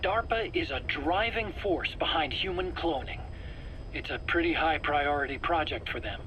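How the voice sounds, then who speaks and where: A man's voice: a little high, moderate pace, sharp tone. A man playing a nervous, evasive scientist under interrogation in a video game, explaining himself earnestly. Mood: earnest, insistent. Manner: earnest explaining, stammers and pleads when pressed. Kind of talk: dramatic video game voice acting. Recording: noisy cassette-tape interrogation recording.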